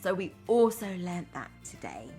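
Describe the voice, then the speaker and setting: A woman's voice: lowish voice, big pitch swings, moderate pace, mellow, faint music behind. A woman primary teacher reading a storybook aloud to young children, expressively, with simple chatty asides.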